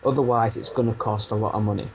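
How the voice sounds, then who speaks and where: A man's voice: lowish voice, steady tone, fast. A man in his thirties with a thick Barnsley Yorkshire accent talks casually and self-deprecatingly as an interview guest. He circles and restarts his thoughts. A faint noise floor sits under his voice. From Barnsley, England. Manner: rambling, self-deprecating, frequent restarts and fillers. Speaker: music producer turned coach.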